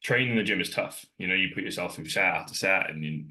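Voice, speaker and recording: A Welsh man in his thirties with a very low voice and a sharp, forceful edge to his tone. He is a podcast guest and fitness coach who talks candidly and loosely, wandering through his thoughts with plenty of fillers. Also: fast talker. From Wales. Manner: relaxed and candid, rambling with fillers. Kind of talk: casual chat. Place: in Ireland, remote.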